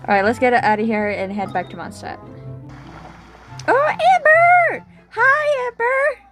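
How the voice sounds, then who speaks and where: A woman streaming a video game, reacting casually in an expressive, exclamatory way. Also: extremely high pitch, measured pace, music in the background.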